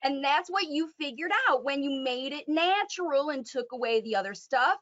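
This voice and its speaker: A woman's voice: high-pitched, expressive, moderate pace, fairly bright tone, highs cut off. A woman acting as a parent coach on a call, talking in an emphatic, encouraging way and often checking in with "right?". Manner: emphatic, encouraging, frequent "right?" tag questions.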